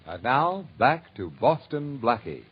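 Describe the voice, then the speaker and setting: A man announcing and recapping the story in measured, formal scripted narration. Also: mid-range voice, very animated. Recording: muffled old radio broadcast with loud music.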